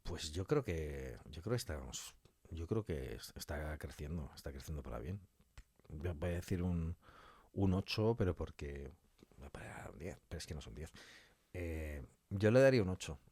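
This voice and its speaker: A man's voice: a bass voice, expressive, normal speed, mellow, slightly rough, no room sound. A man with an accent from Spain, chatting casually as an interview guest in an effusive, colloquial way, repeating things for emphasis. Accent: Spanish from Spain. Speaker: interview guest, audio fiction scriptwriter.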